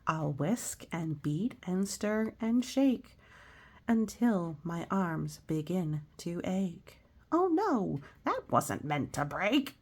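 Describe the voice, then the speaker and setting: A naughty young girl of a rhyming storybook, as a woman reads her aloud, guilty yet keen to fix things. She draws out each rhyme slowly and deliberately, with a gravelly edge to the voice. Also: on the low side, big pitch swings, exaggerated voice. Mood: flustered. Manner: drawn-out, deliberate rhyming delivery.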